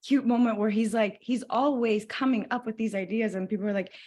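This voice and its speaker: A woman's voice: fairly high pitch, steady tone, brisk, mellow. Rambling young woman, casual interview guest. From Los Angeles, USA. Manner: rambling, many fillers and restarts. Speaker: guest actor.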